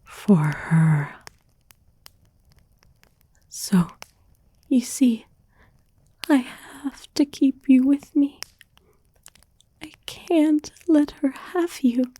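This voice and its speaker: A woman playing an obsessive, wounded, possessive girlfriend in an intimate, halting, pleading ASMR whisper. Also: high voice, big pitch swings, mellow, slightly rough, full character voice. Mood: desperate, possessive. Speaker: ASMR voice actor. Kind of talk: whispered ASMR roleplay monologue.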